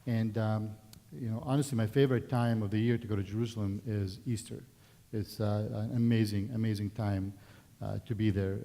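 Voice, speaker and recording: Palestinian-accented man, mellow low voice. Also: moderate pace, vocal fry. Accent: Palestinian Arabic. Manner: relaxed, conversational, many fillers and restarts. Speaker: guest speaker. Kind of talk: personal testimony at a worship service. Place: church sanctuary. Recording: long church reverb.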